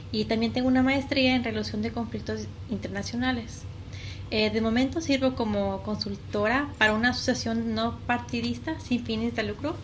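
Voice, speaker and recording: A woman raised in Ecuador and the United States, a guest giving careful, prepared answers and stumbling on longer words. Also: a little high. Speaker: guest, consultant. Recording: noticeable background noise.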